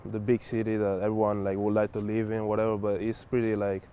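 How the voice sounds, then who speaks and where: Relaxed young man, low mellow voice. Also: fast talker, a little hiss or hum. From Spain. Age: young adult. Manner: relaxed, many fillers like "like" and "whatever". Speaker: college basketball player. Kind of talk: casual interview answers.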